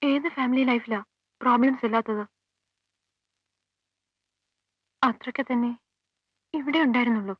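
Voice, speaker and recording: An actress playing a defensive widow under police questioning in a film drama, giving terse, cool, evasive answers. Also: female voice, a little high, a little fast, no room sound, lightly acted. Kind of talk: Malayalam film dialogue. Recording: dull old film soundtrack, faint music.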